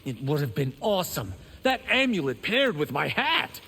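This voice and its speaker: An actor voicing a boastful, vain young monk in a video game. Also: male voice, on the high side, very animated, moderate pace, gravelly, pushed and bright, a little hiss or hum, full character voice. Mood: cocky.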